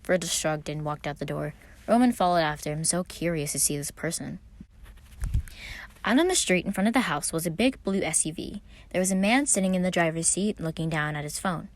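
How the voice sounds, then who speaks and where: A woman reading fan fiction aloud as an expressive scripted story narration. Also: fairly low pitch, brisk.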